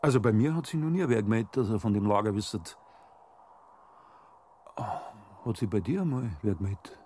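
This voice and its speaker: A man's voice: lowish voice, animated, moderate pace, darkish tone, slight background noise, natural voice. An actor playing an uneasy village man with a Bavarian accent, hesitant yet insistent, in a radio crime drama. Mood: cautious, probing. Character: probing, uneasy village man cautiously questioning an acquaintance. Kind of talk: German radio crime drama acting in dialect.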